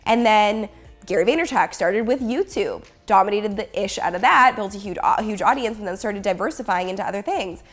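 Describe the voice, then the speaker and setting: Hoarse thirtysomething woman vlogging at home, upbeat and chatty, faint music underneath. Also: medium pitch, a little fast. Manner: upbeat, chatty, direct to the viewer. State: hoarse from a cold. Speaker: YouTuber and business coach. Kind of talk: casual talking-head vlog.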